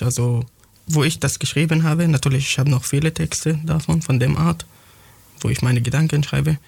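A teenage boy from Syria answers interview questions in careful, halting German, often searching for the next word. His voice has a gravelly creak, and the sound is dry and close with no room echo. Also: mid-range voice, darkish tone. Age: teens. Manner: halting, searching for words, non-native grammar. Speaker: young student writer interviewed.

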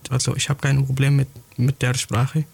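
Gravelly teenage boy in learner German. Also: medium pitch, completely flat delivery, darkish tone. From Syria. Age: teens. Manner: halting, searching for words, non-native grammar. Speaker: young student writer interviewed. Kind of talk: interview answers in learner German.

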